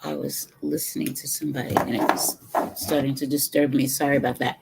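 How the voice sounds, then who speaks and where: A woman's voice: very deep voice, flat delivery, a little fast, gravelly, very noisy. A middle-aged woman from the Bronx with a New York accent, chatting casually with viewers on a home livestream and rambling between sips of her drink. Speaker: livestream host. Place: home room with brick wall.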